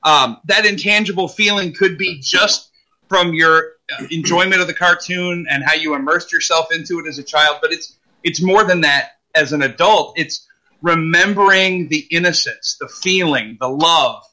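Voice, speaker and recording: Man in his 40s, high and very bright voice, earnest podcast guest musing on toys. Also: normal speed, rough recording. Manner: reflective, earnest. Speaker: podcast guest, toy show creator. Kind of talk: casual podcast chat.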